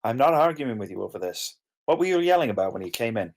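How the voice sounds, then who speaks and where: A man's voice: mid-range voice, sing-song, quick pace. A man playing a blunt, casual young roommate, defensive but caring, in an informal screenplay table read. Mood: concerned.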